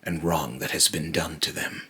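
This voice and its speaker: A man voicing a brooding otherworldly being in a slow, halting, grave monologue, raspy and breathy, warning darkly about mortals. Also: very deep voice, steady tone, bright, forceful tone, exaggerated voice. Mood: ominous. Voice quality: raspy, breathy, lapsing into harsh whisper. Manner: slow, halting, repeats phrases, ominous and grave. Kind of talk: dark dramatic monologue.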